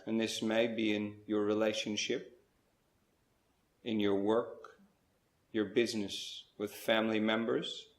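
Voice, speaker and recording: A man with a low voice leads a guided meditation in a very flat, even tone. He speaks in measured short phrases, leaving pauses between them. The sound is roomy, with clear echo around the voice. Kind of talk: slow guided spiritual meditation.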